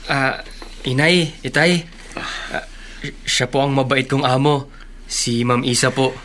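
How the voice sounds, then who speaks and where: A man's voice: medium pitch, fairly even intonation, takes it slowly, close to an everyday voice. An actor playing a humble, grateful young male employee, deferential and hesitant, in a Tagalog radio drama. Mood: shyly polite.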